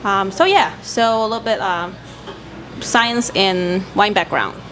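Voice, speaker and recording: A woman's voice: mid-range voice, measured pace, fairly bright tone, slight background noise, poor audio. A woman from Hong Kong, a sommelier guest, introducing herself in a hesitant way with many fillers. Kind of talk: casual podcast chat.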